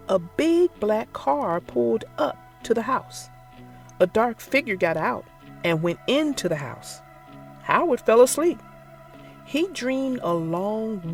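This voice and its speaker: A narrator reading a children's story aloud in an expressive, theatrical and deliberate way. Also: mid-range voice, creaky. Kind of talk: expressive scripted read-aloud for young children.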